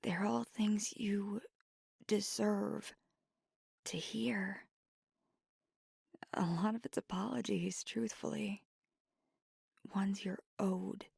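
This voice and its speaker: Woman voicing a hushed, breathy, nervous vampire girlfriend. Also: medium pitch, fairly even intonation, no echo, clean studio sound, exaggerated voice. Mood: nervous, apologetic. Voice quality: breathy, husky whisper. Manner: hesitant, halting, earnest delivery. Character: nervous, tender, devoted female vampire girlfriend. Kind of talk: scripted intimate ASMR roleplay.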